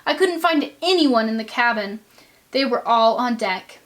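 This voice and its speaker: A woman reading a children's storybook aloud with expressive narration. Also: on the high side, a little slow.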